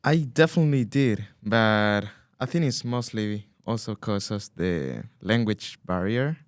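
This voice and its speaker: A man in his twenties from Colombia, raised in Spain, whose first language is Spanish, talking as a podcast guest and pausing and restarting as he looks for words. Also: fairly low pitch, animated, clean tone, no room sound, clean studio sound. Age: 20s. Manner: hesitant, restarts when searching for words. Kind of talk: casual interview.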